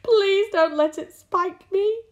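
Storybook earthworm, read by a woman: fearful, whiny complainer, high wailing delivery. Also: takes it slowly, highs cut off. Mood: begging. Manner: wailing, begging.

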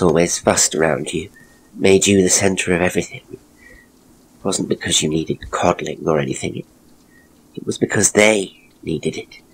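A man voicing a frail, earnest young woman in a ghost story, hushed and halting. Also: sits low, slight background noise, low-quality recording.

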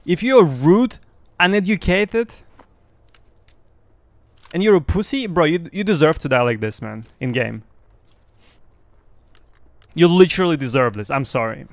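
A young man whose first language is Russian, giving excited, boastful live commentary on his game to his chat through a headset. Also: on the high side, unhurried, pauses often, dry recording. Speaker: streamer.

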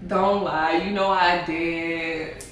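A young woman chatting casually in her home kitchen, telling a story with lots of giggles and animated asides. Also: lowish voice, slow. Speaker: vlogger. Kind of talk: casual vlog chat. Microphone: camera across the room. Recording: echoey room, far from camera, background noise.

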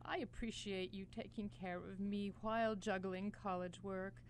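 A woman's voice: mid-range voice, steady tone, moderate pace, a little hiss or hum, natural voice. A woman acting a kindly, proud, elderly widowed aunt in a student radio play. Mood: tender, proud.

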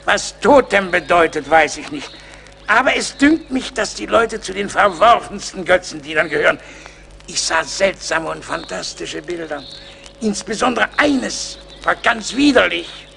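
An actor playing a pious, pompous, eccentric psalm-singing teacher in a German radio play, with florid, preacherly delivery. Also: male voice, sits high, animated, unhurried, gravelly, pushed and bright, heavily acted. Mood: self-important.

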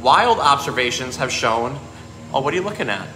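Man, thirties, casual animal educator and storyteller. Bright, conversational delivery, echoey room. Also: medium pitch, big pitch swings, normal speed, faint music behind. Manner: conversational, explanatory. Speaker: zookeeper and pet store presenter. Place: small indoor room with painted aquarium backdrop. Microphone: phone at arm's length. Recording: echoey room, faint background noise.

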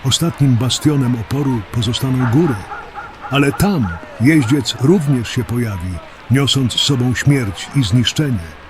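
An actor playing an old wandering prophet in a fantasy audio drama, declaiming desperate warnings of doom over outdoor noise. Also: male voice, medium pitch, a little slow, over music, lightly acted. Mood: doom-laden warning.

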